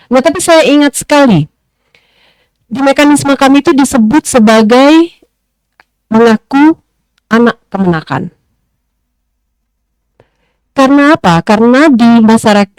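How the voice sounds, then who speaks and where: A woman panelist speaking in a discussion, thoughtful and pausing often with fillers as she reasons aloud. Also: on the high side, a little mellow, no echo.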